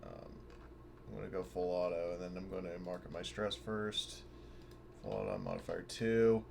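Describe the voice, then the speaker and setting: A man chatting casually as a tabletop game player, sweary and expressive. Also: sits low, normal speed, vocal fry, slight background noise.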